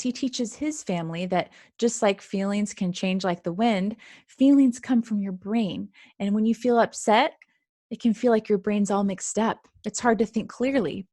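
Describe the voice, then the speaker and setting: Female presenter for a children's read-aloud, mid-range pitch, simple and clear teaching delivery. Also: darkish tone, dry recording, studio quality. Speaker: presenter, author reading own book.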